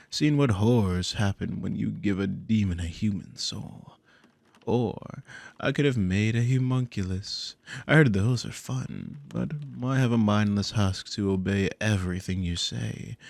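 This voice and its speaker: A man's voice: low voice, creaky, darkish tone, no room sound, full character voice. A man playing a dominant, possessive demon in an ASMR audio roleplay, speaking in a commanding, condescending, theatrical drawl with smug, silky menace. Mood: musing, possessive. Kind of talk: ASMR audio roleplay, intimate scripted monologue.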